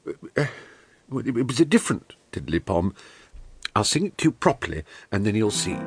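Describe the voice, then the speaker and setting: A good-natured, slow-thinking British bear from a children's audio drama. He muses and bumbles in a singsong way, his mid-pitched voice rising and falling freely. Music plays prominently behind him. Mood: earnest explaining. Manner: musing, bumbling, singsong humming.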